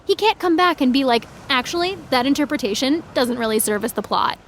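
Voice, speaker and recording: A frustrated teenage fangirl with a high, youthful voice. She speaks earnestly and articulately, with indignant conviction, in the natural style of an audio drama. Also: lively intonation, fairly quick, fairly bright tone, a little hiss or hum. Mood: indignant, passionate. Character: frustrated, opinionated high school senior fangirl.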